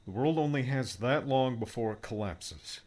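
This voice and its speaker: A man's voice: medium pitch, expressive, moderate pace, darkish tone, voice pushed up, natural voice. A man voicing an upbeat, reassuring male tech mentor who explains gadgets in a cheerful manner. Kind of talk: audiobook character voice.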